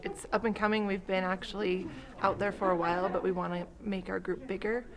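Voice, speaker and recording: A woman's voice: mid-range voice, flat delivery, a little fast, crowd noise. A woman speaking as an advocate in an interview soundbite. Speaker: parent advocacy group member.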